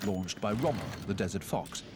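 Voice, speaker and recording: A man narrating a historical documentary in a measured, solemn scripted delivery, recorded as a studio voice-over. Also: lowish voice, animated, vocal fry, noisy background. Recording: narration under loud music score.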